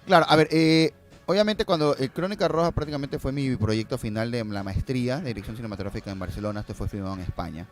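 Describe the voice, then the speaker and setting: Man chatting, music softly behind. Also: mid-range voice, fast. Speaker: guest filmmaker. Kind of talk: casual conversational storytelling and explanation.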